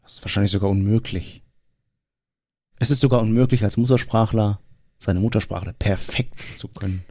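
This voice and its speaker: Man, casual chat; low voice, plain matter-of-fact delivery; dry close recording. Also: fairly even intonation, normal speed, darkish tone, no room sound.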